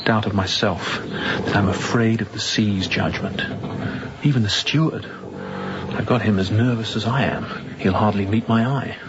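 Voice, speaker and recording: An actor playing a tense, haunted young sea captain in a radio drama, quiet-spoken and sometimes dropping to a whisper. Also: male voice, on the low side, unhurried, lo-fi sound, noisy background, close to an everyday voice. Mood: anxious, confiding.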